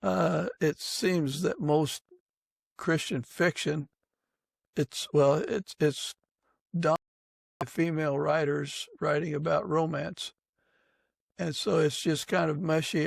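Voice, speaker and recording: A man with a creaky, slightly high voice hosts a casual interview podcast. He talks in a halting way, stopping partway through sentences, and the sound is close and dry. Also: steady tone, no room sound. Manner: halting, pausing mid-sentence. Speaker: podcast host.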